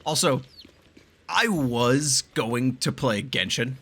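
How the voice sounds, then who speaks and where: A man giving casual, animated gameplay commentary as a streamer, swearing freely as he reacts. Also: medium pitch, slow, slightly rough. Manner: animated, profane, reads game dialogue aloud.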